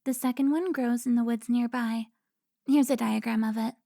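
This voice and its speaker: A woman's voice: on the high side, fairly even intonation, moderate pace, vocal fry, dark tone, slight background noise. A woman playing a sly, seductive female vampire informant who teases and taunts in a cinematic audio roleplay. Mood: brisk, wry.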